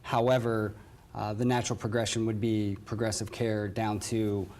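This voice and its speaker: A man's voice: lowish voice, level tone, moderate pace, darkish tone. A courteous American man from West Virginia answering interview questions as a nurse manager. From West Virginia, United States. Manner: courteous, says yes ma'am.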